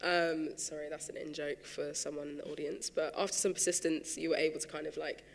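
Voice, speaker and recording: A man's voice: a little high, level tone, brisk, vocal fry, big-room sound. A man moderating a panel on stage, hedging and linking questions between speakers. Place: large theatre stage.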